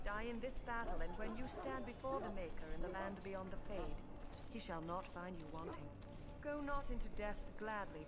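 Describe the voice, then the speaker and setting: A woman voicing a solemn priestess who blesses soldiers before battle in a grave, measured way, as game audio with loud noise behind. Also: medium pitch, close to an everyday voice. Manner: grave, measured sermon-like delivery. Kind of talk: video game voice acting.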